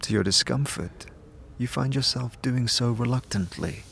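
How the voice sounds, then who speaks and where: A man's voice: mid-range voice, normal speed, vocal fry, fairly bright tone, slightly rough. A man narrating a story in the second person in a dramatic audio drama style, with music behind him.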